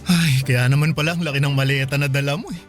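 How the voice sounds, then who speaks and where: An actor playing a young, thrifty, cautious boyfriend in a Filipino radio drama, earnest and gently questioning. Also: male voice, mid-range voice, steady tone, quick pace, faint music behind, lightly acted. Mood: grateful. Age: young adult.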